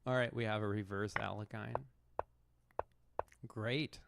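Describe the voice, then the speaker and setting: Gravelly low-voiced male chess streamer. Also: fairly even intonation, measured pace, background noise. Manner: self-mocking, rambling, restarts mid-thought. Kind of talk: casual live commentary while playing.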